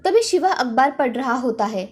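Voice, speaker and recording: A woman's voice: high voice, lively intonation, moderate pace, slightly rough. A woman reading a scripted children's story as its storyteller.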